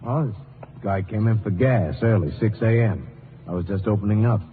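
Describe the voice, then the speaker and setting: An actor playing a plain-spoken, defensive small-town gas station owner in an old radio drama. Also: male voice, on the low side, normal speed, natural voice. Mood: explaining earnestly. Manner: plain-spoken, colloquial, protesting. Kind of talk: 1950s radio drama acting. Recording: tinny, faintly noisy old radio recording.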